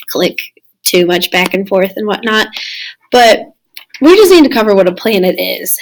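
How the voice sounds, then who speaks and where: A woman with a slightly raspy voice, recovering from losing it, giving a casual recorded slideshow lesson as a science teacher. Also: mid-range voice, a bit bright, rough recording. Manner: casual, explanatory, addressing students. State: recovering from lost voice.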